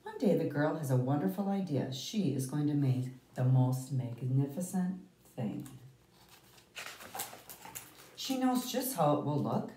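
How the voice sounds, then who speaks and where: A woman reading a picture book aloud to children, with deliberate, emphatic phrasing and dramatic pauses. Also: low-pitched, big pitch swings, mellow, slight background noise. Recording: roomy, echoey, distant microphone.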